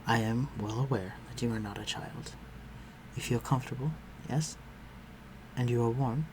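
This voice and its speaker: A man's voice: medium pitch, moderate pace, natural voice. A man playing a protective, courtly vampire lord in an audio roleplay, speaking formally and tenderly to his human listener. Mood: calm, caring. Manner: formal, old-fashioned, tender and reassuring. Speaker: voice actor. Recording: clearly noisy, ambient sound behind voice.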